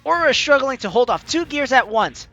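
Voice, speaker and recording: A man's voice: very high-pitched, a little fast, lo-fi sound. A man hosting a let's play, reading a visual novel story aloud in a theatrical, dramatic way. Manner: theatrical, animated delivery. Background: game music.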